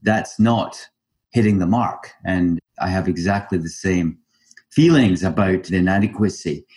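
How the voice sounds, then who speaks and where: Male podcast host, lowish voice, welcoming and emphatic. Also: measured pace, a little mellow. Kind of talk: interview hosting.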